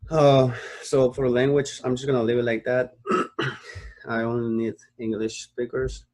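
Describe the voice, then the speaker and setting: A man's voice: medium pitch, little pitch movement, unhurried, darkish tone. A young man from the United States explains a screen-recorded tutorial, rambling through fillers and restarts and checking in with "okay?" as he goes. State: sleepy. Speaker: online marketing tutor.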